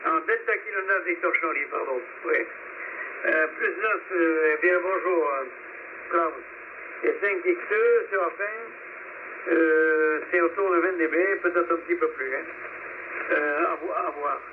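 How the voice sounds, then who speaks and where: A man's voice: a little high, a little slow. A man giving casual signal reports as an amateur radio operator, rambling and correcting himself, heard over a radio transmission. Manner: rambling, hesitant, self-correcting. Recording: narrow, noisy shortwave radio signal.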